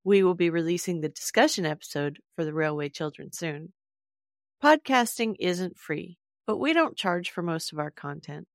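A woman's voice: on the low side, expressive, normal speed, no room sound, studio quality. A woman podcast host giving a scripted announcement, speaking directly to listeners.

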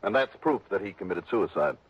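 An actor playing a shrewd, skeptical American investigator, clipped and dry, in early sixties radio drama style. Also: male voice, low-pitched, lively intonation, a little fast, husky, no echo. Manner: clipped, probing questions, dry narration. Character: shrewd, skeptical male insurance investigator and narrator. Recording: muffled vintage radio recording.

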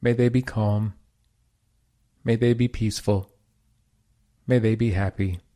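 A man leading a guided meditation as host, giving slow, calm, soothing instruction in short phrases. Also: on the low side.

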